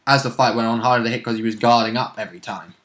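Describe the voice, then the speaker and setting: A man's voice: medium pitch, fairly even intonation, racing, fairly bright tone. A man co-hosting a tabletop game recap in casual chat, chipping in with reactions. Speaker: co-host.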